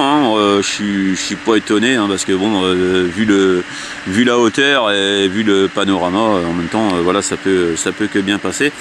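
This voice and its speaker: A man chatting casually as an amateur radio operator, often repeating his words. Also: on the low side. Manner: repeats himself. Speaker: amateur radio operator filming an antenna test. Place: outdoors at an elevated open spot. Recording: noisy outdoor ambience.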